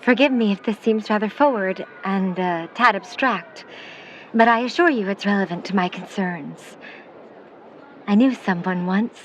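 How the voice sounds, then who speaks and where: A woman's voice: medium pitch, unhurried, muffled recording, close to an everyday voice. Female actor, protective 1950s mother, middle-aged, formal wordy phrasing, skeptical, audio drama, slight noisy ambience. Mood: wistful. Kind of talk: vintage-set audio drama acting.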